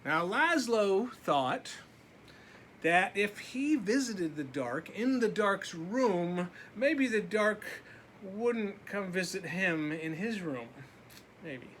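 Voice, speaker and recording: A man's voice: high voice, lively intonation, slow, fairly bright tone, slight background noise. A man reading a picture book aloud as a teacher, with simple asides to his young listeners.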